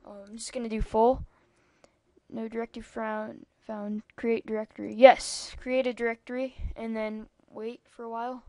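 A woman's voice: a little high, flat delivery, normal speed, dark tone, dry recording. A young-sounding female speaker giving a rambling, casual computer tutorial over a screen recording, with lots of ums and asides. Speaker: tutorial maker.

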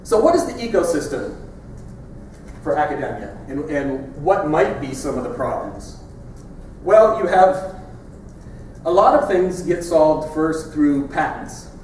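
A middle-aged man speaks to a conference crowd in a big lecture hall, his voice a touch high for a man. He talks in a loose, wry, conversational way, as if chatting with the audience. He is picked up from far off, with the hall ringing heavily behind every word. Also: big pitch swings, measured pace, a little mellow, slight background noise. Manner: conversational, wry, pointing at slides. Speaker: keynote speaker.

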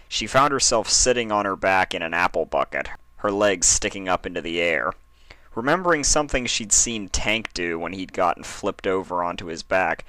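A man's voice: deep voice, sharp tone, no room sound. A man reading a story aloud as a scripted narration. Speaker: fan fiction reader.

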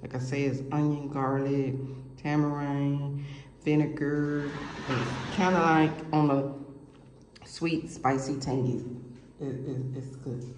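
A woman rambling warmly through her cooking vlog, talking straight to her viewers from behind the camera at a home kitchen table. The room bounces her voice back noticeably, and a faint hiss sits underneath. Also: deep voice, fairly even intonation, slow pace, roomy sound, poor audio. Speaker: cooking vlogger. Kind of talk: casual cooking vlog chat.

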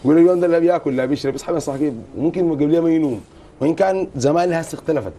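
Sudanese arabic guy hosting a sports talk show, middle-aged, mellow voice, rattles questions off fast, lapel mic in a studio. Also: on the high side, a little hiss or hum. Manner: brisk, animated questioning with many "mm" backchannels. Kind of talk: studio interview.

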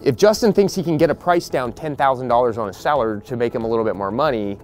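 A man's voice: mid-range voice, a little mellow, soft background music. A man, walking a group through a house he is flipping outdoors on a porch, talking bluntly and practically. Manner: blunt, practical, quoting others in quick asides. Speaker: real estate investor leading a property walkthrough. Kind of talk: casual explaining to a group on site.

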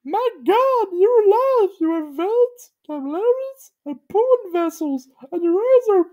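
A woman voicing an earnest, worried clay horse in a high, squeaky put-on voice. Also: lively intonation, measured pace, highs cut off. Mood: amazed.

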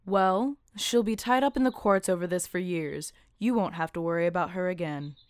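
A woman's voice: on the low side, moderate pace, no room sound, lightly acted. A woman voicing a businesslike female police officer in an anime-style game dub, matter-of-fact.

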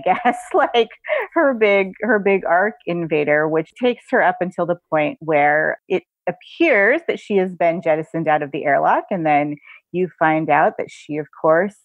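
Woman author chatting in an interview, lowish voice, giggly, sounds like a phone call. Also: creaky, no echo. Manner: chatty, giggly asides mid-sentence. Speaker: guest author. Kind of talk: casual interview talk. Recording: thin phone-like call audio.

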